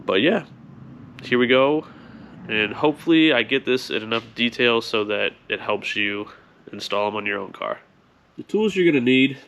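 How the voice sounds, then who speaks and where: A man's voice: mid-range voice, slight background noise. Man with a stuffy, nasal cold voice. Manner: relaxed, filler-heavy explaining while working on a car. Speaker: car DIY channel host. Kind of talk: casual how-to walkthrough. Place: garage beside the car.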